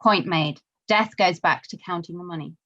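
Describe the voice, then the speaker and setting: A woman's voice: on the low side, moderate pace, bright, forceful tone, lo-fi sound. A woman reading stage directions as scripted narration.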